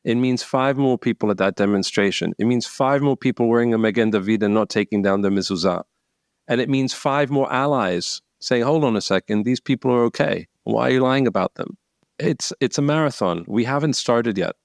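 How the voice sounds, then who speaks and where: Gravelly man speaking bluntly. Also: mid-range voice, fairly quick, no room sound, clean studio sound. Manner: blunt, profane, emphatic. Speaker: guest speaker. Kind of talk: conversational interview.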